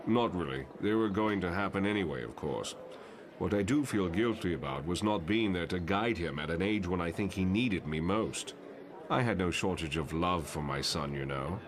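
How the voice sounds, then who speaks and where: An actor playing a reflective, guilt-ridden professor in a motion comic, speaking in a measured, articulate way. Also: male voice, deep voice. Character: brooding mutant professor, reflective, guilt-ridden father in a wheelchair. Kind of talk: motion comic narration and dialogue.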